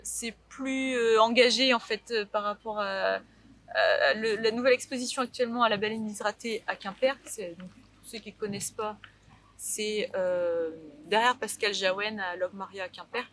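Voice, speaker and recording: A woman's voice: mid-range voice, normal speed, a bit bright, a little hiss or hum, rough recording. A young woman from Brittany, a painter, talking casually in an interview with many fillers and restarts.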